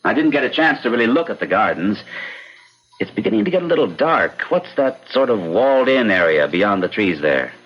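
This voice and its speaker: An actor playing a reclusive, driven mathematician, brusque and self-assured, in a formal old radio drama style. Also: male voice, fairly low pitch, sing-song, fairly quick, breathy edge, muffled recording. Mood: brisk, curious. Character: reclusive, driven male mathematician, brusque and set in his ways. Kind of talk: old-time radio drama acting and narration.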